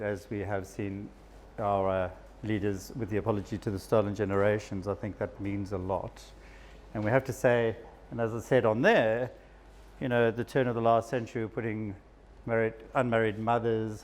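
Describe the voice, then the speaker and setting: A man's voice: fairly low pitch, darkish tone, a little hiss or hum. A man from South Africa with a South African accent, a psychiatrist giving a casual, self-deprecating talk to a live audience. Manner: chatty, self-deprecating, with frequent laughs. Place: event room with an audience.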